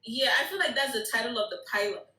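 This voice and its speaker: A woman with a bright, forceful voice at a medium pitch shares casual feedback. The room around her sounds distinctly echoey. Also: lively intonation, quick pace. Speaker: participant giving script feedback. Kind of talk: casual chat.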